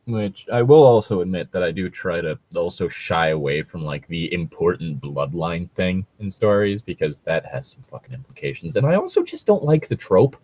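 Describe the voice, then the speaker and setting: Male podcast co-host, fairly deep, halting and sweary off-the-cuff commentary, very little room sound. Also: big pitch swings, darkish tone, slightly rough, dry recording. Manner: hesitant, filler-heavy, casually sweary. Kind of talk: casual chat commentary.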